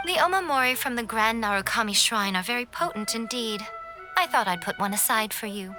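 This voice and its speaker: Sly fox priestess lady, woman voicing her, silky and teasing, kinda high voice, game music behind. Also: animated, a little fast, natural voice. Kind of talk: video game voice acting.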